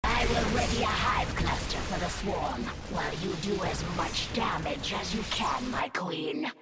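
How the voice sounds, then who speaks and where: A female alien broodmother from a video game, proud and ambitious beneath formal deference. The delivery is very slow and low, echoing over loud music. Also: animated. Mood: commanding, dutiful. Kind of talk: video game dramatic voice acting.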